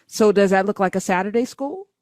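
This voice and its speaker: A woman's voice: mid-range voice, brisk, darkish tone, dry recording, studio quality. A middle-aged woman hosting a live call-in talk show, speaking conversationally and thinking aloud as she goes.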